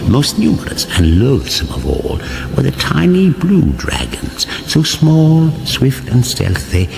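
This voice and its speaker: An actor playing a solemn, portentous fantasy chronicler, narrating a mock-serious epic in grand style. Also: male voice, mid-range voice, huge pitch swings, measured pace, vocal fry, full character voice. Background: music.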